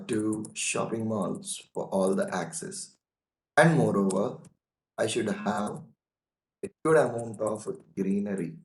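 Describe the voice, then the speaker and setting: A hoarse man with a cold, teaching a casual online lesson on software testing, calling his listeners "guys" and repeating his points. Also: fairly low pitch, reverberant, slight background noise, rough recording. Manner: slow and repetitive, addresses listeners as "guys".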